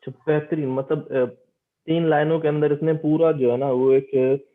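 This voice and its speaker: A man's voice: fairly high pitch, flat delivery, moderate pace, narrow, tinny audio. Man lecturing informally, repeating for emphasis. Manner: explanatory, repeats phrases for emphasis. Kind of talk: informal interactive online lecture.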